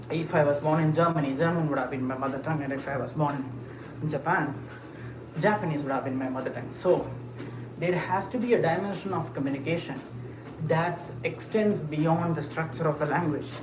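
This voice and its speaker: A livestreamer rambles and muses aloud to the chat at a middle pitch, full of "um"s and little laughs. The voice bounces around a roomy, echoey space, with a steady wash of ambient noise clearly under it. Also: normal speed. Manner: rambling, musing aloud with frequent fillers and short laughs; talks while walking. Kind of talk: casual live chat.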